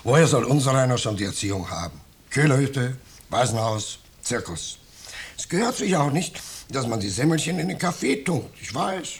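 An actor playing an ingratiating, self-pitying vagrant, mock-humble and plaintive, in a 1950s German radio drama. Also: male voice, mid-range voice, big pitch swings, normal speed, breathy edge. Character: wheedling, self-pitying male vagrant, falsely humble houseguest. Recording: noisy, clicking 1950s radio recording.

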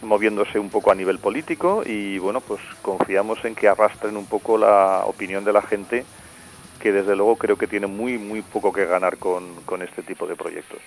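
A man with an accent from Spain speaking as an expert radio guest, explaining in a measured, didactic way. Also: on the low side, low-quality recording. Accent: Spanish from Spain. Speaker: geology professor, radio guest. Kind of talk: explanatory interview talk.

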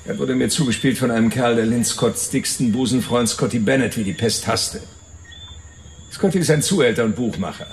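An actor playing a slick, crude, cynical fixer, talking tough and coarse in a noir radio drama. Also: male voice, medium pitch, moderate pace, a little hiss or hum, lightly acted. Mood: matter-of-fact recounting.

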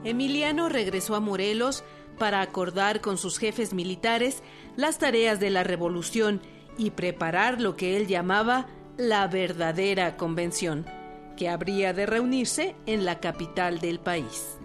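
A woman's voice: medium pitch, measured pace. A woman reading scripted historical narration for a radio drama. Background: music with guitar.

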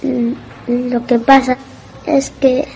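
A woman's voice: sits high, little pitch movement, slow, vocal fry, mellow, slight background noise, heavily acted, poor audio. A woman voicing a timid, frightened little country boy in a radio drama, hesitant and pleading. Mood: shy, hesitant.

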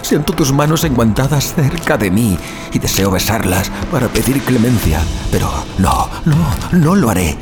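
An actor playing a terrified, fatalistic man in a horror audio drama, speaking in anguished sighs and dramatic pauses. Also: medium pitch, very animated, music in the background, close to an everyday voice. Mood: mounting panic, resigned. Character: terrified, fatalistic male car passenger awaiting his death. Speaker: narrator of audio fiction. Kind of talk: dramatised first-person horror monologue.

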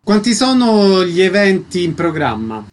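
A man's voice: high voice, sing-song, unhurried, a little hiss or hum. A man hosting a radio interview, introducing his guest and putting short questions.

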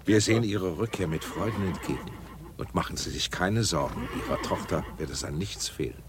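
A man playing a courteous, reassuring middle-aged gentleman and father in a German radio drama, with formal manners. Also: low-pitched, a little fast, very noisy, lightly acted. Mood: gracious.

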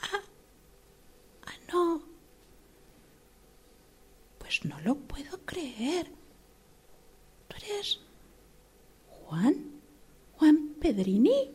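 Una actriz de radioteatro que interpreta a una exgerente de mediana edad, humillada y desesperada por conseguir trabajo, con habla vacilante y deferente. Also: female voice, high voice, big pitch swings, a little mellow, heavily acted. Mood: stunned. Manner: hesitant, halting, deferential. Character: desperate middle-aged female job seeker, humbled former manager. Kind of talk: radio drama acting.

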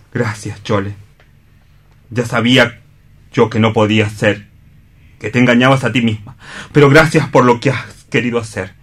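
A man playing an anguished, self-pitying man in a radio play, declaiming solemnly and deliberately, bitter yet noble. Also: mid-range voice, a bit bright. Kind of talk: theatrical radio play reading.

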